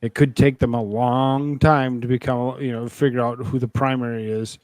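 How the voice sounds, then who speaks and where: A man's voice: medium pitch, level tone, moderate pace, a little mellow, dry recording. A man hosting a casual online talk show, speaking in a loose conversational way. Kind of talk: casual political chat.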